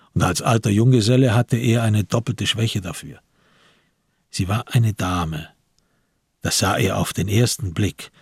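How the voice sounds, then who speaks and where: Male narrator for a radio play, really husky n low, reading literary prose, dry studio sound. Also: dry recording.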